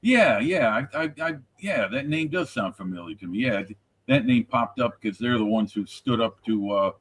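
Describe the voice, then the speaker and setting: A man's voice: mid-range voice, expressive, normal speed, breathy edge, dry recording. A man hosting a casual live modelling stream, rambling and jokey, often restarting his sentences. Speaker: stream host and model builder.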